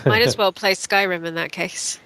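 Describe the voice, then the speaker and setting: Bright, teasing woman co-host. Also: fairly low pitch, moderate pace, low-quality recording. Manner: teasing, playful banter. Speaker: podcast co-host. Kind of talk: casual podcast chat.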